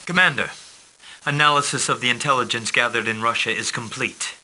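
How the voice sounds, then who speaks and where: An actor playing a formal male intelligence handler who briefs a commander on his missions in a calm, businesslike way. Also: mid-range voice, fairly quick, low-quality recording. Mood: briefing. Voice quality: crisp, formal delivery. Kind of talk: scripted game briefing.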